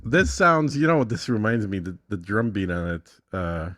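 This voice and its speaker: Deep-voiced man chatting off the cuff. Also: sing-song, moderate pace, a bit bright, no room sound, soft background music. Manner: informal, off-the-cuff, thinking aloud. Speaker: podcast guest demonstrating an app. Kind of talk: casual tutorial chat.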